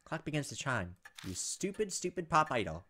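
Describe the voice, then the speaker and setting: A man's voice: on the high side, very animated, normal speed, bright, forceful tone, a little hiss or hum. A man hosting a gaming video, reading a visual novel aloud with casual, profane asides. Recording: music behind the voice. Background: game music.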